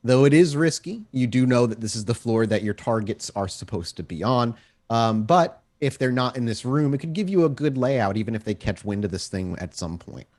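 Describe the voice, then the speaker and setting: A man acting as storyteller, improvising descriptive narration for a tabletop roleplaying game in a halting way. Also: mid-range voice, lively intonation, a little mellow.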